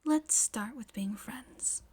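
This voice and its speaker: A woman voicing a polite teenage schoolgirl in a fan fiction reading, flustered and hesitant, with halting, often breathy delivery. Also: fairly high pitch, a little mellow, heavily acted. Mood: awkward, polite.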